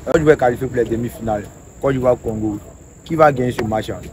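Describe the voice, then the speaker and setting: A man's voice: medium pitch, racing. A man, asking questions as a street interviewer. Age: 20s. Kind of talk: vox pop questioning. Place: outdoors on a roadside. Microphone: handheld microphone. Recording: outdoor street recording with clear traffic noise. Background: traffic and street noise.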